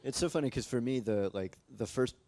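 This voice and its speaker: An American man speaking as a translator on a panel, relaxed and rambling, with many fillers and restarts. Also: medium pitch, brisk, a little hiss or hum. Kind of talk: casual panel discussion. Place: library event space with live audience.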